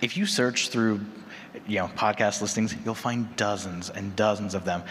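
A man's voice: on the low side, steady tone, moderate pace, fairly bright tone. A man speaking conversationally as a podcaster on a panel. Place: large convention room with audience. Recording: echoey hall PA, noisy room.

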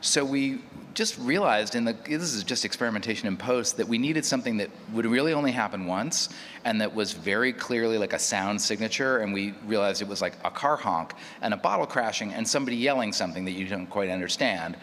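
A man's voice: on the low side, lively intonation, a little fast, fairly bright tone. A man speaking casually as a panelist, telling an anecdote in a conversational way. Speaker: panelist, audio drama maker. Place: large reverberant hall at a live panel. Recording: echoey hall, background noise.

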